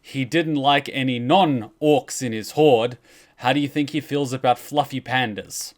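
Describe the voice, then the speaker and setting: A man's voice: mid-range voice, unhurried. A man with a mid-range voice narrates lore on a livestream. He explains things casually and conversationally, with a joking touch. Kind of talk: casual storytelling commentary on a livestream.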